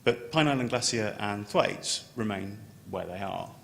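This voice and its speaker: A man giving an unscripted technical conference presentation as a scientist, halting and often repeating words as he starts a phrase. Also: animated, creaky, a bit bright. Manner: halting, with stammered word repetitions. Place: large conference room. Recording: echoey hall, noticeable background noise, low rumble.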